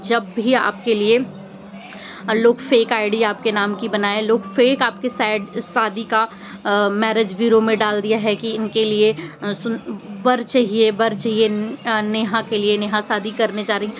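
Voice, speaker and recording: A woman's voice: mid-range voice, little pitch movement, measured pace, faint music behind. A woman giving informal opinion commentary to her viewers, speaking in an earnest, reproachful, emphatic manner with rhetorical questions. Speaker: vlogger commenting on social media controversy. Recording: muffled lo-fi audio with faint noise.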